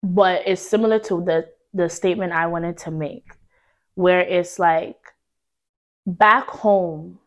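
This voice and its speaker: A woman's voice: fairly low pitch, steady tone, slow pace. Slangy young woman arguing a point. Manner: slangy, assertive, devil's advocate. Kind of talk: casual discussion.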